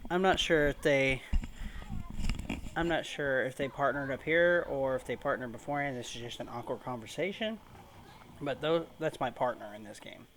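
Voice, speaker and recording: Male gaming host, casual let's-play commentary, mid-pitched voice, halting unscripted delivery, slight noise. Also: a bit bright. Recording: faint noise in home recording.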